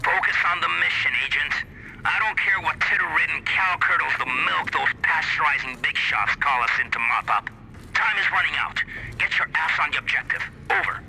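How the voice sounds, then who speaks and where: Brusque major over noisy radio. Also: male voice, fairly high pitch, moderate pace, vocal fry. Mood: impatient, stern. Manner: commanding, brusque. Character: hard-bitten male army major commanding over radio. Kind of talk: video game cutscene acting, military radio orders.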